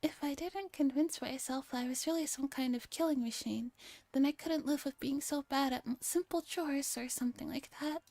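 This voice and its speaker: A woman's voice: high voice, moderate pace, warm tone, no room sound. A woman voicing a clumsy, boastful young robot maid from a visual novel, making solemn, over-dramatic declarations. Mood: stubborn, earnest.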